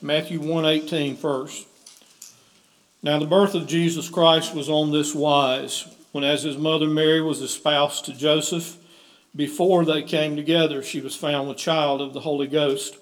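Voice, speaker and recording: A man's voice: on the high side, little pitch movement, measured pace. A man, the pastor, leading a church service and reading scripture aloud in a church sanctuary. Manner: leads a hymn, then reads scripture aloud. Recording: roomy church echo with organ music.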